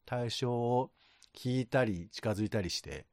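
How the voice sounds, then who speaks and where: A man's voice: medium pitch, a little slow, darkish tone, no echo, studio quality. A man chatting casually as a co-host, thinking aloud as he gives his impressions. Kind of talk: casual chat.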